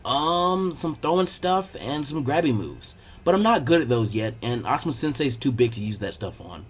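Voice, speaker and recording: A man's voice: mid-range voice, sing-song, moderate pace, slight background noise, pitched below the performer's own voice, natural voice. A man voicing an earnest, scrappy young boy ninja with a casual, slightly hesitant way of talking in a story reading. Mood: casual, unsure.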